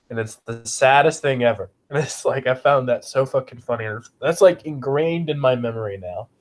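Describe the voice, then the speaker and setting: A man's voice: medium pitch, darkish tone. Man with a cold reciting football stats. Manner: profane, blunt, recites stats. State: has a cold, sniffling. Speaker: podcast co-host. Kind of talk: casual sports chat.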